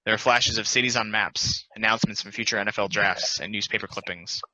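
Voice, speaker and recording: Forceful male stage-direction narrator. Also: on the low side, fairly even intonation, a little fast, no echo. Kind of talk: scripted narration. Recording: dry, dull lo-fi audio.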